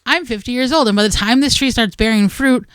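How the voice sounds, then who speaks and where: A middle-aged American woman co-hosts a radio show in a smooth, clear voice. She chats casually and plainly, slipping into hypothetical asides. The sound is close and dry. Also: on the high side, brisk, a bit bright, dry recording. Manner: conversational, plain-spoken, talks in hypothetical asides. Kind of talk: casual gardening advice chat.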